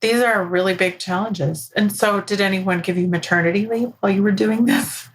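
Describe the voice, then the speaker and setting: A woman's voice: mid-range voice, steady tone, normal speed, slight background noise. An American woman in her forties hosting a conversational interview podcast. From United States. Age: 40s.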